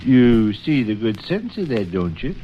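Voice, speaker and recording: A middle-aged father in a 1930s radio comedy, with a mid-pitched voice. He is dry and wordy, mixing mock-formal phrases with slang. The old recording is dull and faintly noisy. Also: big pitch swings, measured pace. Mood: dryly indulgent. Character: droll middle-aged father, busy with office paperwork.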